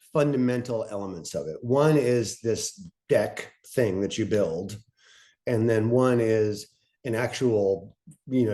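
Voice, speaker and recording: A man moderating a casual panel talk in a self-deprecating way, thinking aloud in halting phrases. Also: medium pitch, mellow.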